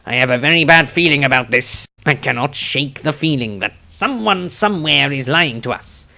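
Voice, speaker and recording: A man's voice: medium pitch, expressive, normal speed, creaky, sharp tone, full character voice. A man voicing a wary, solemn male adventurer in a fantasy audiobook diary. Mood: uneasy. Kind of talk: fantasy audiobook character reading.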